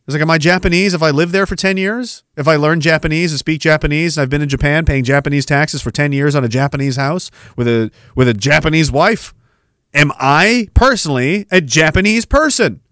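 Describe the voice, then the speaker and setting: A Canadian man ranting on his podcast, firing off sarcastic rhetorical questions. His voice sits a little high, and the sound is dry and close to the mic. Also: a little fast, a bit bright, no echo. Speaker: podcast host. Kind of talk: ranting commentary over a played clip.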